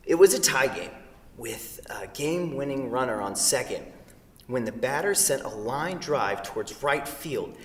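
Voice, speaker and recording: A man in a staged play reading, playing an earnest, wry, slightly awkward young man with a halting delivery. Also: mid-range voice, lightly acted. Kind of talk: staged reading of a comedic two-person play. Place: theatre stage, picked up from a distance. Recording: echoey theatre hall, distant microphone.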